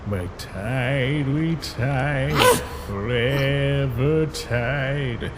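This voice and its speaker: A man's voice: medium pitch, drawn-out words, heavy background noise. A man voicing a laid-back, guarded skeleton big brother in a fan comic dub, with a casual drawl. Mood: soothing singing.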